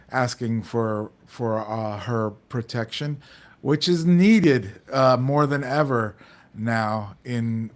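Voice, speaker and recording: Middle-aged man co-host, mid-pitched, earnest, halting delivery full of restarts. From United States. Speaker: podcast co-host. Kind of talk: casual community talk show chat.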